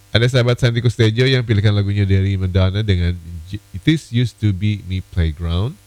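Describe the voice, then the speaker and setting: Hoarse low-voiced man DJ with a cold. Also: moderate pace, darkish tone, rough recording. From Indonesia. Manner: chatty, friendly, many fillers and asides. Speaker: radio DJ. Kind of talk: evening radio request show.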